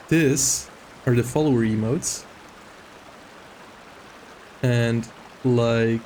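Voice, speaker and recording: A man's voice: medium pitch, darkish tone, a little hiss or hum. A man chatting casually as a game stream host, rambling and hesitant, prone to giggling. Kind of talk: casual livestream chat.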